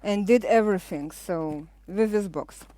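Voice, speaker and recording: A middle-aged woman with a Lithuanian accent hosting a live cooking show, chatting casually as she explains each step with frequent pauses. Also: mid-range voice, dark tone, no echo.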